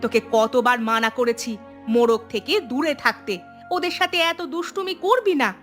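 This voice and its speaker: A woman's voice: on the high side, animated, normal speed, faint music behind, close to an everyday voice. A woman voicing a nagging, exasperated village mother in a cartoon, scolding and complaining. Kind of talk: cartoon voice acting.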